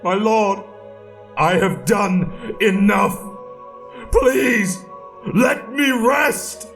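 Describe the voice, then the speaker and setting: A man voicing a weary, dying warrior who pleads desperately in a strained, halting voice, in a dramatic reading. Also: high voice, creaky, a bit bright, faint music behind, voice pushed up, heavily acted. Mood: anguished. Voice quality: strained, harsh, dry-throated. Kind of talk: dramatic audiobook-style character acting.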